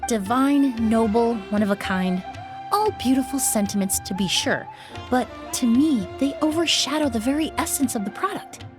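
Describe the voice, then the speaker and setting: An actress voicing a refined young woman perfumer in a video game, speaking in a measured, articulate way. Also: a little high, lively intonation, over music, lightly acted. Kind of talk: game voice acting.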